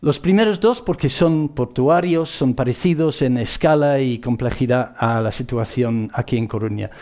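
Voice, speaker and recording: A man from the Bristol area of England with a British accent, a native English speaker, gives a public presentation in a halting way, often repeating himself as he searches for words. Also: medium pitch, warm tone. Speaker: conference speaker.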